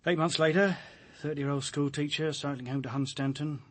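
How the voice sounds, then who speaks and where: An actor with a British accent playing a down-to-earth, blunt police detective in a radio drama, colloquial and matter-of-fact. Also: male voice, fairly high pitch, steady tone, fairly quick, a bit bright, no room sound. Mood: matter-of-fact, grim.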